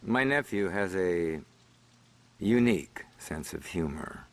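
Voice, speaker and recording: A man playing an older crime boss in a video game, dry, measured and menacingly polite, with a gravelly voice. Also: low-pitched, animated, long reverb tail, heavily acted. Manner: measured, sardonic, formal.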